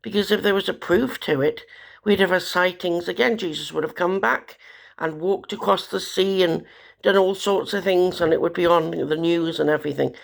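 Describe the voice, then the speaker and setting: Hoarse gravelly woman, despairing. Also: on the low side, moderate pace. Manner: despairing, rambling, bitter. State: hoarse with a cold. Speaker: vlogger. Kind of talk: rambling personal monologue.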